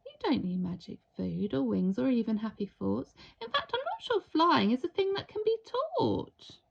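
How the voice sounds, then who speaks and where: A woman voicing a friendly, earnest little boy in a gentle, encouraging storybook character voice. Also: high voice, sing-song, moderate pace, gravelly, husky, highs cut off, heavily acted.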